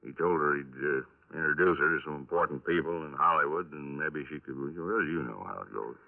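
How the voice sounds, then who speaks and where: A man acting a folksy, unhurried police detective in a 1950s radio drama, speaking in a hesitant, rambling, casual way. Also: rumbling low, gravelly. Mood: matter-of-fact. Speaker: actor in a radio crime drama. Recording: narrow-band vintage 1950s radio recording.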